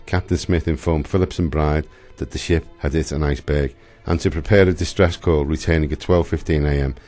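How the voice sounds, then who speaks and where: A man's voice: very deep voice, fairly even intonation, fairly quick, warm tone, soft background music. A man reading scripted historical narration for a radio play.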